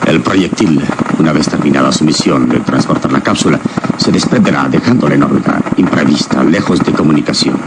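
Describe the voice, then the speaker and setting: A deep male voice plays a wise adventure hero in a radio serial. He is solemn and measured as he counsels his companion, amid heavy noise. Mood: grave, solemn. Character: grave, wise heroic adventurer mentoring a companion. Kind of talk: radio drama acting. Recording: very noisy old radio drama recording.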